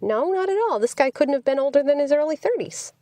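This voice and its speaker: A woman voicing a sweet, chatty young woman who is easily exasperated, in an animated audiobook reading. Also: high voice, quick pace, dry recording, pitched above the performer's own voice. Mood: indignant. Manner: animated, indignant when challenged.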